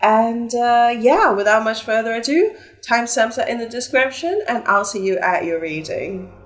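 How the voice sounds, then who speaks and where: A woman's voice: fairly high pitch, expressive, moderate pace. Stuffy-voiced young woman doing a tarot reading, chatty with lots of ums. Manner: chatty, upbeat, with fillers. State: stuffy from a flu. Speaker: tarot reader. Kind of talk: pick-a-card tarot reading.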